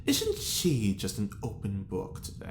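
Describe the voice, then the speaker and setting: A man acting in an audio drama as a sardonic, prying companion with an arch, theatrical, drawn-out delivery. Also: lowish voice, wildly swooping pitch, full character voice. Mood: mock sympathy. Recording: echoey room, noisy ambience.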